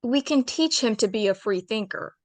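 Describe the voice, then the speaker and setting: A woman's voice: a little high, lively intonation, normal speed, natural voice. A woman playing an earnest, pleading bear. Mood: coaxing. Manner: earnest, pleading speech-making. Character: female bear, earnest impassioned council speaker. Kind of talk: amateur radio drama table read.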